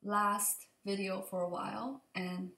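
Slow, creaky-voiced young woman vlogger. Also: mid-range voice, little pitch movement, pushed and bright. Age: young adult. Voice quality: slightly raspy. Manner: hesitant, halting, rambling. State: raspy from allergies. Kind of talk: unscripted casual update to camera. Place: indoor open kitchen and living room. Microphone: computer mic at desk distance. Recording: echoey room, computer mic.

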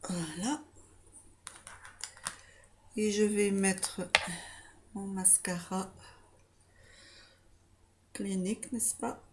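A woman in her forties with a slightly stuffy nose, softly and hesitantly talking through a makeup tutorial into a webcam in a small room. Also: medium pitch, steady tone, extremely slow, long pauses, a little hiss or hum. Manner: soft, hesitant, talks while applying makeup. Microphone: webcam close up.

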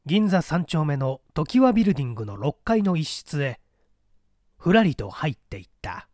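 A man reading a mystery story as a scripted, dramatic narration in a deliberate, theatrical storytelling manner. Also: a little high, very animated, darkish tone, no echo, clean studio sound. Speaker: audiobook narrator.

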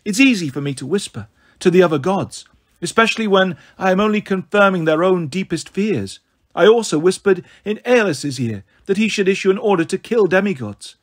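A man acts out a cold snow goddess from a fantasy storybook. The voice sits high and stays soft, gentle and purring. She is haughty and condescending, taunting with cruel, silky smugness. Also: animated, brisk. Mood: smug, patient. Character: beautiful cold snow goddess, haughty, sadistically smug villainess.

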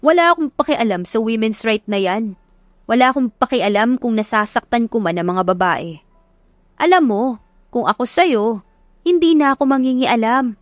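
A woman's voice: on the high side, lively intonation, normal speed, no echo, soft background music, close to an everyday voice. A woman voicing a furious, drunk, jealous and bullying boyfriend in an audiobook drama, accusing and domineering. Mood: angry, threatening.

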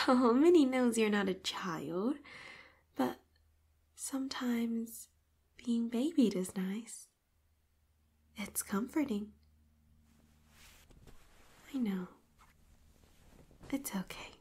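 A devoted young robot girl with a possessive streak, played by a woman in an audio roleplay. She murmurs in a breathy, slightly high half-whisper. She takes her time, lingering over each word as she dotes on her Master. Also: animated, extremely slow, pauses often, a little mellow, exaggerated voice. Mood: tender. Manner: doting, speaks to listener as Master. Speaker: voice actor.

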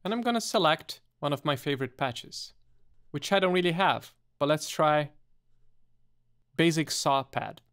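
A man presenting a step-by-step software tutorial in a methodical, explanatory way. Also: on the high side, lively intonation, a little slow, clear, resonant tone.

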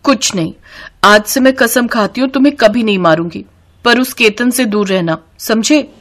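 A woman's voice: fairly high pitch, normal speed, a bit bright, natural voice. A woman voicing a hot-tempered, domineering young village wife in a cartoon, scolding and commanding. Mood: shaken, softening.

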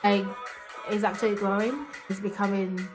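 A woman's voice: mid-range voice, level tone, moderate pace, over music. A young woman from Ghana vlogging to camera in a small room, chatting playfully and laughing often. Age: young adult. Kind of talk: casual chat to camera.

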